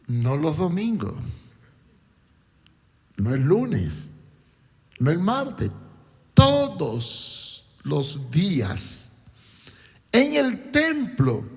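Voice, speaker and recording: A man from Cuba preaching a sermon in a deliberate, emphatic way, with repetition and rhetorical questions. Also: sits high, slightly rough. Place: large church hall. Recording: long hall reverb.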